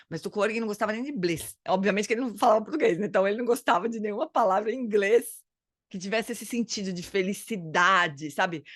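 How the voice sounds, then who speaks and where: A woman's voice: mid-range voice, dry recording, studio quality. Woman teaching, hesitant and searching. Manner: hesitant, searching for words, frequent "né?". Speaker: dharma study group teacher. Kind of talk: casual spoken teaching.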